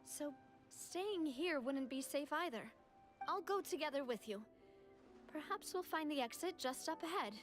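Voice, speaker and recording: Young game heroine: high voice, composed yet vulnerable, sincere, music underneath. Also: female voice, animated, unhurried. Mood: grave, resigned. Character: composed, earnest young woman, resolute but vulnerable. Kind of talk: video game voice acting.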